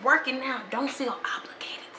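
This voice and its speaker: Animated young woman in an echoey room. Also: sits high, moderate pace. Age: 20s. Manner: animated, conversational, slangy. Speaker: podcast host. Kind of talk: casual solo monologue. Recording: echoey room with faint noise.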